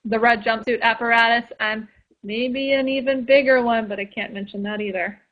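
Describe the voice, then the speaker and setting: Woman, radio interview guest, chatty, lots of fillers, slightly high, dull lo-fi sound. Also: steady tone, moderate pace.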